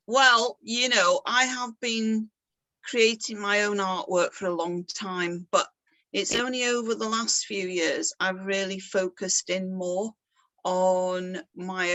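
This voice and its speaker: A woman's voice: mid-range voice, bright, forceful tone, no echo, low-quality recording. Middle-aged woman, artist talk, chatty and self-deprecating, mid-range voice, dry close sound. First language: English. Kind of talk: casual presentation.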